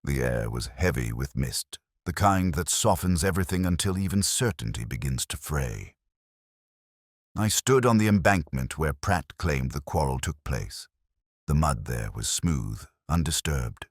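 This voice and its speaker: A man narrating as a brooding, reflective English detective, in measured, literary, melancholy first-person mystery storytelling. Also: a bass voice, expressive, no echo, clean studio sound, heavily acted. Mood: pensive.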